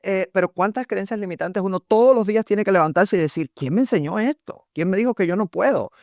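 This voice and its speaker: A man with a fairly high voice speaks as an accountant guest, telling stories and posing rhetorical questions. His voice sits close and dry on the mic. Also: quick pace, no echo. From Cuba. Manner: lively storytelling, quotes sayings, rhetorical questions. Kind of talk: conversational podcast interview.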